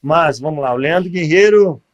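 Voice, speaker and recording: A gaúcho man in his forties, a former athlete, recounts his upbringing in a podcast interview. His voice sits a bit high for a man. He keeps checking in with tag questions as he tells the story. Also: expressive, moderate pace, highs cut off. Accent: gaúcho Portuguese. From southern Brazil. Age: 40s. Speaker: guest, former pro footballer.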